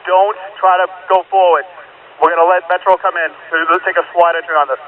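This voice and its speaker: A man's voice: high-pitched, fairly even intonation, quick pace. A man working as a police officer, giving terse, commanding orders over police radio traffic. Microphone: two-way radio heard through a scanner. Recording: tinny narrow-band police scanner radio, loud static.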